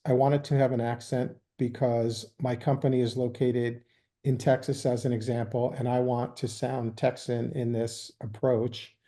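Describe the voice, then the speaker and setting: A man with a dark, mellow voice talks through business topics at an even, measured pace. He fills his explanations with ums and uhs as he goes. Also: medium pitch. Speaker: guest, sales executive. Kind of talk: business interview.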